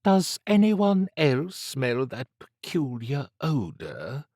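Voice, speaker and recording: A man's voice: medium pitch, wildly swooping pitch, slow pace, darkish tone, no echo, studio quality, voice pushed up, heavily acted. A man voicing a slim, androgynous occult schemer with an upper-class English accent and an arch, urbane, needling manner. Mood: puzzled.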